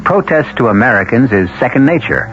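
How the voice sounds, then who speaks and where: A man hosting a 1970s radio drama, reading scripted narration in a deliberate, oratorical way. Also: mid-range voice, very animated, noisy background. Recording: dull 1970s radio recording. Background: clear music.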